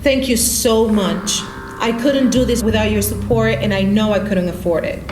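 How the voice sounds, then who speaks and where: A weary, worried mother fighting for her child in a radio play, acted by a woman. Her voice sits at a middling pitch in a reverberant room, with music clearly behind it. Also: normal speed, close to an everyday voice. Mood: grateful, tender. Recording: roomy, music underneath.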